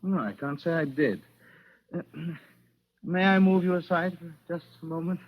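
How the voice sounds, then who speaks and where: Supercilious young gentleman, self-assured, coolly polite, slightly high husky voice, vintage radio play. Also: measured pace. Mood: cool disdain. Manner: coolly polite, clipped, disdainful of emotion. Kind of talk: 1940s radio acting. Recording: muffled old 1940s radio recording.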